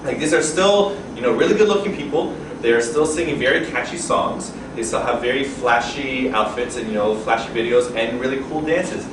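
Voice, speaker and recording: A young man talks casually to an audience, animated and quick to curse as he tells his stories. He sounds like he's some distance from the mic. The room is echoey, and a steady murmur of noise sits behind him. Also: medium pitch. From Canada. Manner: animated, swears casually, acts out quoted voices. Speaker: guest speaker. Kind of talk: casual storytelling to a live audience. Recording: roomy, distant, noisy event audio.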